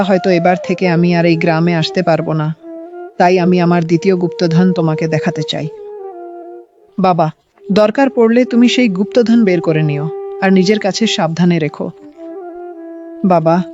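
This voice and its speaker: A performer playing a kindly, weary old village woman in a cartoon story, speaking slowly and gently. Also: mid-range voice, close to an everyday voice. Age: older. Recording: dull, lo-fi cartoon audio. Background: clear flute music.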